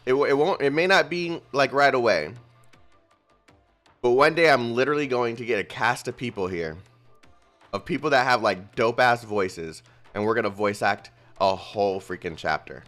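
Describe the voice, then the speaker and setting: A young man streaming a game, chatting casually with his viewers in an excitable, rambling way. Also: mid-range voice, moderate pace, faint music behind. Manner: excitable, rambling, talks to chat. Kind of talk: casual livestream commentary.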